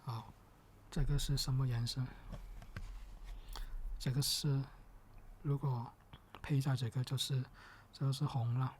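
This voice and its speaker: A man performs ASMR in a gentle, soft-spoken voice that often slips into a whisper. His pace is slow and his pitch is middling. He sounds patient and a little hesitant, going step by step, over a faint layer of background noise. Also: flat delivery.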